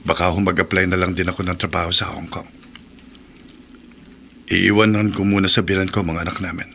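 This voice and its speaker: A man's voice: low-pitched, level tone, brisk, gravelly, fairly bright tone, a little hiss or hum. An actor playing a troubled soldier son in a radio drama, earnest and respectful as he speaks of his doubts. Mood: resigned. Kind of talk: Tagalog radio drama acting.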